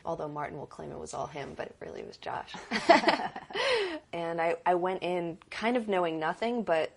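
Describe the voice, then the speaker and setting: A woman with a low, deep voice and a gravelly edge is a guest in an easygoing interview. She speaks earnestly and thoughtfully, circling back and restarting as she works out her points. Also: moderate pace, slight background noise. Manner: earnest, reflective, thinks aloud with restarts. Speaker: producer and director, guest. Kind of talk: casual interview conversation.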